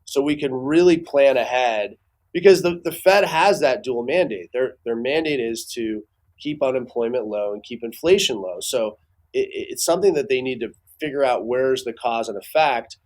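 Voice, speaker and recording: A man giving casual expert commentary as an interview guest, building his points haltingly with repeated words. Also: mid-range voice, lively intonation, darkish tone.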